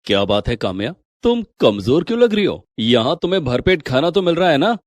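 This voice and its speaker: A supportive young husband in a family story, played by a man. His attentive voice is animated, a little high, and dry in sound. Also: brisk, no echo. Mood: concerned. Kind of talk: moral story voice acting.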